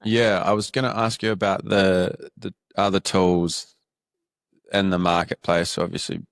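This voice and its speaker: A New Zealand man with a New Zealand accent hosting a business podcast, asking questions in a deep, monotone voice. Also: a little slow, vocal fry, no room sound. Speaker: podcast host. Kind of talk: interview questions, conversational.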